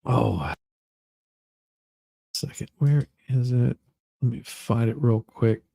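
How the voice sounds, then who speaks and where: A man hosting a livestream, moving between casual chat and mock-dramatic readings with theatrical, drawn-out emphasis. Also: medium pitch, vocal fry, warm tone, no echo, studio quality. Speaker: livestream host.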